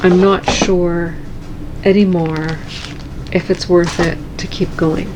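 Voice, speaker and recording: A woman chatting casually and calmly in her cross-stitch vlog at home, soft-spoken and unhurried. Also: fairly low pitch, noisy background. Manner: calm, slow and soft-spoken. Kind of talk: casual chat.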